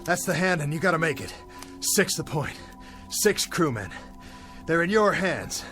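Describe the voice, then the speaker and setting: A man's voice: on the low side, lively intonation, moderate pace, music in the background, close to an everyday voice. A young man playing a stranded, guilt-ridden bomber captain who rambles and questions himself aloud in a dramatic radio play monologue. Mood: self-commanding, anxious. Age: young adult.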